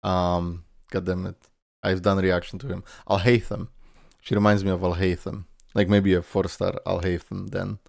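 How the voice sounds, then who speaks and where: A man's voice: deep voice, little pitch movement, moderate pace, creaky, no room sound, studio quality. A man giving casual, playful reaction commentary with swearing, his voice tired and strained from a lot of talking. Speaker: reaction video host.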